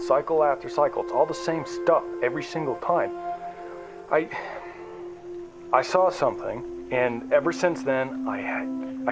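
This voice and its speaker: A man playing a restless, frustrated explorer in an audio drama, speaking earnestly in halting, repeated phrases. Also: a little high, lightly acted, poor audio. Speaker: narrator and lead character. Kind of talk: audio drama acting, conversational monologue and dialogue. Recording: music behind the voice.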